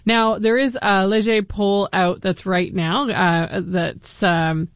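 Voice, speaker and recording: A woman's voice: mid-range voice, steady tone, slow, clean tone, dry recording, lo-fi sound. A woman hosting a Canadian call-in radio talk show, chatty and questioning, with frequent fillers. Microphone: studio microphone.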